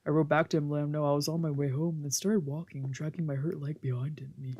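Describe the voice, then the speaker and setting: A woman's voice: deep voice, steady tone, fast, dark tone, breathy edge. A woman streamer reading a visual novel aloud in a playful, theatrical way with casual asides. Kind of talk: let's play read-aloud with casual asides.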